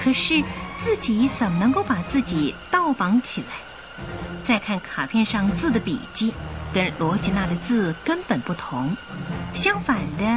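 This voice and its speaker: A woman narrating a radio drama in an expressive, suspenseful storytelling style. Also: medium pitch. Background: music.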